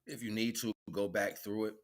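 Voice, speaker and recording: A man's voice: lowish voice, little pitch movement, fairly quick. A man chatting casually as a livestream host, rambling in slang and repeating catchphrases.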